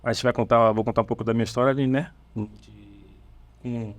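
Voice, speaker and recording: A man from Salvador with a Bahian accent, a church band's bassist, chatting casually on a podcast in an easygoing, halting storytelling way with fillers and restarts. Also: steady tone, no echo. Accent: Bahian Portuguese. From Salvador, Brazil. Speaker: bassist of a church worship band, podcast guest.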